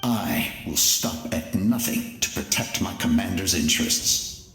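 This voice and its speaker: A man voicing a grave, ruthless ancient warrior in a video game, with measured, formal and solemn delivery. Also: deep voice, a bit bright, roomy sound, soft background music. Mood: cold, resolute. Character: grave, ruthless ancient warrior, a living story of a loyal second in command. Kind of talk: dramatic video game voice acting.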